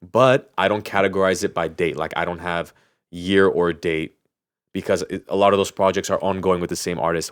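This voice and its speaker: A man with a low-pitched voice chats casually as a podcast co-host. His delivery is informal and loose, often self-correcting mid-thought. The recording is dry and close, with very little room sound. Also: clean tone, no room sound.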